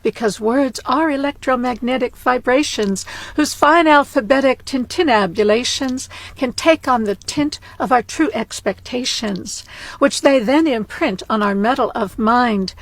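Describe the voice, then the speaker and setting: A woman giving a measured, wordplay-heavy spoken-word lecture that runs into rhyme. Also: fairly high pitch, no room sound.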